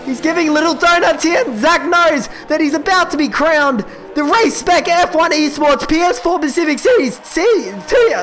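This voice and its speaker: A young man calling a sim racing event live, excitable and quick, his voice giving out and cracking. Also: very high-pitched, faint music behind. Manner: excitable, quick calls that rise at overtakes. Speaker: esports race commentator. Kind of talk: live play-by-play race commentary.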